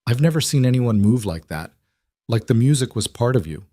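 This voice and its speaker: A man's voice: mid-range voice, animated, fairly quick, mellow, no echo, lightly acted. A man voicing an intense mafia boss in an audio romance, direct and earnest, a little socially awkward.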